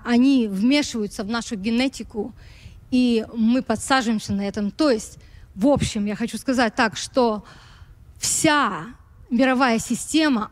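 A woman preaching a sermon in Russian, speaking slowly and pausing to search for words, her Russian touched by long years in America. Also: on the high side, long reverb tail. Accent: American-influenced Russian. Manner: slow, searching for words, with light laughs.

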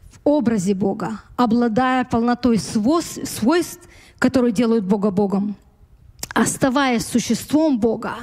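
Slow-talking woman preacher, kinda high voice, slight american tinge to her russian, keeps hunting for words. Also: a little mellow, in a large hall. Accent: American-influenced Russian. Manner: slow, searching for words, with light laughs. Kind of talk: sermon.

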